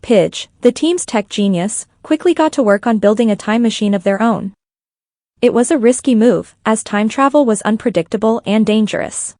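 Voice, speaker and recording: A woman's voice: a little high, moderate pace, a little mellow, no room sound. A woman reading scripted fan fiction as a story narrator.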